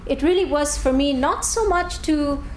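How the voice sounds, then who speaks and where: A woman's voice: sits high, normal speed, slight background noise. A woman in her forties from Bangladesh giving a public talk in a lecture hall, telling stories with many restarts and fillers. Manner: chatty, warm storytelling with many restarts and fillers. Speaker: guest speaker. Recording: echoey room recording.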